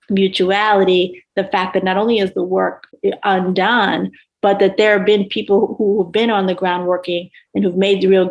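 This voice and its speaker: A woman speaking earnestly and reflectively as a panelist in a discussion. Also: medium pitch.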